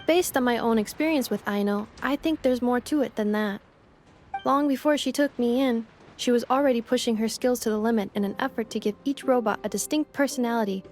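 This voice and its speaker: A woman voicing a polite, caring robot companion in a video game, explaining things calmly and precisely. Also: on the high side, close to an everyday voice. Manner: calm, explanatory. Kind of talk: scripted video game dialogue. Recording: music behind the voice.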